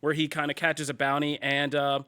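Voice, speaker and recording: A man's voice: on the high side, completely flat delivery, moderate pace, bright, forceful tone, smooth. A man hosting a casual pop-culture podcast, talking energetically with lots of fillers and jokes. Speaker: podcast host. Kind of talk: casual panel chat.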